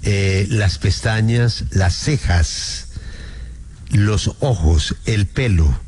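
A man's voice: on the low side, very slow, a little mellow, breathy edge. A man hosting a radio show, teasing his co-hosts and steering the talk. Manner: teasing, leads the segment. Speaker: radio host. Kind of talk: casual radio chat.

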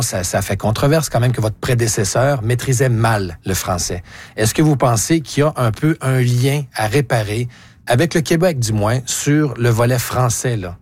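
A male interviewer with a husky, slightly rough voice. He asks direct, probing questions and follows up on his guest's answers. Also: mid-range voice, darkish tone.

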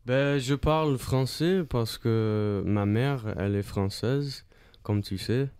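A man from Australia chatting casually as an interview guest in a studio, speaking halting French and searching for words. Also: medium pitch, a little mellow, clear, resonant tone, no echo. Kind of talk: casual chat.

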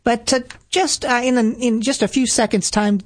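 A woman's voice: on the high side, steady tone, moderate pace, no room sound, clean studio sound. A woman hosting a radio ministry interview, asking questions in a conversational way. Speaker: radio host.

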